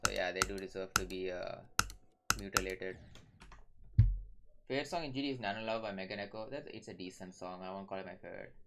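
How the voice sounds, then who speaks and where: A man's voice: low-pitched, fast talker, long pauses, noisy background. A young man from Singapore, streaming a game and chatting offhandedly with viewers, often muttering and swearing. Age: young adult. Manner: offhand, profane, mutters to himself between chat replies. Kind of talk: casual live-stream chatter while gaming. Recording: clear clicking and keyboard typing behind.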